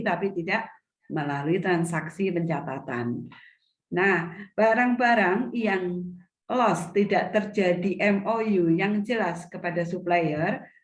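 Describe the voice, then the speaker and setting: A middle-aged woman, teaching an online seminar as a retail business mentor over a video call, her voice a little hoarse from a cough, often restating her points. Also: medium pitch. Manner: explanatory, repeats and restates points. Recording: echoey video call audio.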